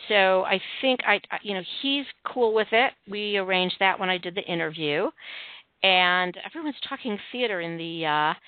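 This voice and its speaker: A woman with a Midwestern accent hosting a casual chat, talking fast with many ums and restarts. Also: mid-range voice, expressive, clear, resonant tone, no room sound. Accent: Midwestern American. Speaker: host. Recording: tinny phone-like line.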